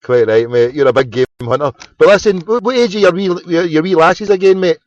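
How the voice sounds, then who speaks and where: A man's voice: on the high side, animated, fairly quick, darkish tone, no echo, highs cut off. A Scottish man hosting a casual phone-in, chatty and sweary, often repeating his words. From Scotland. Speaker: phone-in host.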